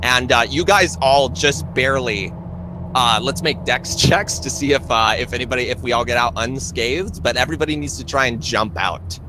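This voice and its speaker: A man narrating a tabletop roleplaying game as game master, in a casual, halting, improvised way. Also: medium pitch. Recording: clearly noisy live stream.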